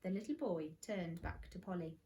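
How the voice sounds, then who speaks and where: A woman's voice: lowish voice, expressive, moderate pace, warm tone. A woman narrating a children's story expressively, like a teacher reading aloud.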